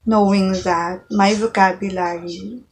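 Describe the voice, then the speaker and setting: A woman's voice: mid-range voice, fairly even intonation, a little slow, slight background noise. A woman, giving careful, articulate interview answers as a college student. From Philippines. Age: 20s.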